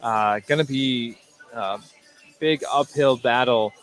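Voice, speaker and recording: A man with a medium-pitched voice gives live basketball analysis. He talks conversationally, pausing and hesitating as he works out each point. Faint music sits behind him. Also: fairly bright tone. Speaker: color commentator.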